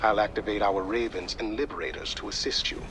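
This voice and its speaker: An actor playing a calm, precise male mission advisor in a video game, speaking in a measured, formal way. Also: fairly low pitch, slight background noise, lightly acted, poor audio. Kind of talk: scripted video game acting.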